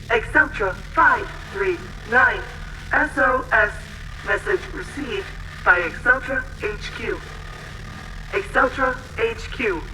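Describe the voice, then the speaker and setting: A man's voice: very high-pitched, sharp tone, a little hiss or hum, heavily acted, rough recording. A man voicing a formal shipboard AI computer in a sci-fi audio drama, announcing in clipped, procedural phrases. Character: formal shipboard AI computer relaying distress messages.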